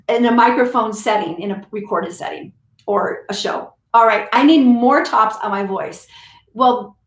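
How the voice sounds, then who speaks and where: Woman singing teacher just chatting it through, kinda high voice. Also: reverberant. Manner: chatty, explains with repeats and restarts. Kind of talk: casual teaching talk to camera.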